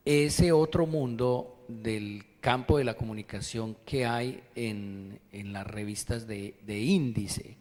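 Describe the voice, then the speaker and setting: A man asks a question from the audience at an academic conference. He speaks in a lowish voice and stops often to search for words. The long reverb of a university auditorium hangs on his voice. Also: darkish tone. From Colombia. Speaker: audience member asking a question.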